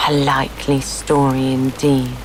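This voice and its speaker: A woman acts out a fussy, suspicious mother pigeon from a bedtime storybook. The bird is indignant and scornful, accusing at a slow pace, over a faint wash of rain. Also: extremely low pitch, flat delivery, background noise. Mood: scornful contempt.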